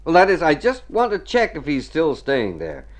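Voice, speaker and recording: An actor playing a worried, tender family friend in mid-century radio drama, earnest and trying to stay practical. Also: male voice, on the high side, wildly swooping pitch, moderate pace. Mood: businesslike.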